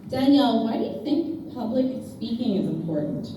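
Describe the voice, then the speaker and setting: A woman's voice: fairly high pitch, lively intonation, normal speed, mellow. A woman interviewer asking direct, friendly questions. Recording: echoey room, distant mic, noisy.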